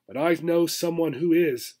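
Storybook gerbil, young and female male reader: earnest, pleading, quick to protest, pitched fairly high. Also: a little mellow, lightly acted. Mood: forlorn musing.

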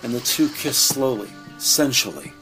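A man's voice: mid-range voice, very animated, moderate pace, sharp tone, poor audio. A man narrating a scripted audio drama in descriptive prose. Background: background music.

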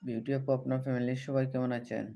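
A man's voice: medium pitch, flat delivery, fast, vocal fry. A man hosting a casual livestream, greeting viewers and repeatedly appealing to them to take part. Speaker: livestream host. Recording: dull lo-fi livestream audio.

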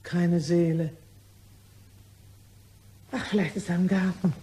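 An actress playing a chatty, nosy French cleaning lady in a radio crime play, rambling and exclaiming with a husky voice. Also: fairly low pitch, steady tone, slow, warm tone, full character voice. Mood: weary grumbling. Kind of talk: radio crime drama acting.

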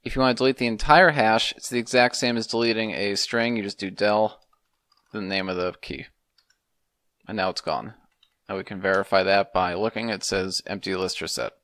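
A man's voice: fairly low pitch, fairly even intonation, normal speed, fairly bright tone, dry recording. A man, explaining things methodically in a semi-casual screencast tutorial. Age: 30s. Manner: methodical, step-by-step instruction. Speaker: programming tutorial host.

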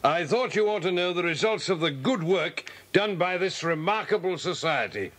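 A British man playing a genial, brisk police inspector in a children's audio drama, hearty and chatty. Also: high voice, animated, noisy background. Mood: businesslike.

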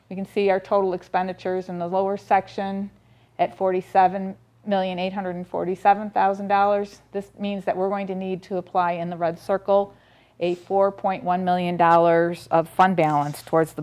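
A woman's voice: mid-range voice, darkish tone. A middle-aged woman presenting a school district budget at a public board meeting, methodically reading out figures with frequent ums and restarts, picked up by a distant camera in a meeting room. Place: board meeting room, standing by a projector screen. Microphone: far camera, room audio.

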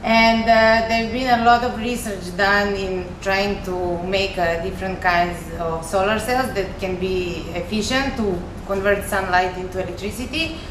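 A woman's voice: mid-range voice, normal speed, hardly any pauses. Young Serbian woman, echoey room. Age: young adult. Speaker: chemistry researcher, recent graduate. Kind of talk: informal explanatory science presentation. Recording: echoey room with faint noise.

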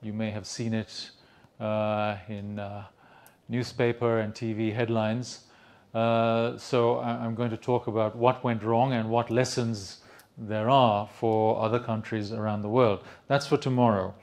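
An older man from Sri Lanka giving an academic lecture in a conference room into a handheld mic, measured, with many fillers and restarts. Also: on the low side, flat delivery, a little mellow. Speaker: economics lecturer. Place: conference room with projector screen.

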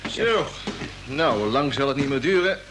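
A man's voice: medium pitch, expressive, a little slow, music in the background, lightly acted. An actor playing an eager, cheerful, joking spaceship crewman in an old Dutch radio drama, chatty in manner. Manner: chatty, jocular. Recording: noisy vintage radio play recording.

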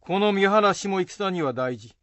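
Brief: male performer as seasoned warrior adviser, slightly high voice, dry close sound, folksy archaic radio drama. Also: animated, moderate pace, fairly bright tone, no echo. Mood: considered. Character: shrewd, seasoned samurai strategist, male. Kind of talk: Japanese period radio drama.

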